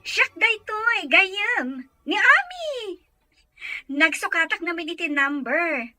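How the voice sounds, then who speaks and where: Chatty female friend for audio drama played by a woman, bright, slow-paced, familiar, dry sound. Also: extremely high pitch, big pitch swings, dry recording, soft background music, heavily acted. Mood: friendly. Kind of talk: Ilocano radio drama acting.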